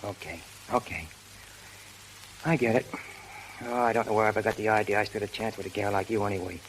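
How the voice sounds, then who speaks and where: An actor playing a brash, hot-tempered but lovestruck prizefight manager, talking in rough street slang in 1930s radio style. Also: male voice, on the low side, fairly even intonation, quick pace, slight background noise, close to an everyday voice, rough recording. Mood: bashful, dejected. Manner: tough, slangy, ungrammatical street talk. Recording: old 1930s radio broadcast recording.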